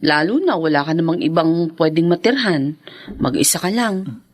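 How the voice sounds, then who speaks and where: An actress playing a cheerful, generous married sister, chatty and friendly, in a Filipino radio drama. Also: female voice, low voice, animated, normal speed, dry recording. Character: cheerful, generous married sister welcoming her older brother.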